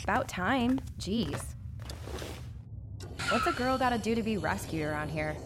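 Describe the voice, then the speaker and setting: An actress playing a cocky, wisecracking young woman engineer in an audio drama, sassy and brisk in explaining. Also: medium pitch, animated, background noise, lightly acted. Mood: wry, brisk.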